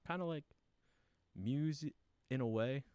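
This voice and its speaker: A man's voice: mid-range voice, takes it slowly, warm tone, smooth, no room sound. A young man as a podcast guest in easygoing casual chat, agreeable and full of "yeah"s.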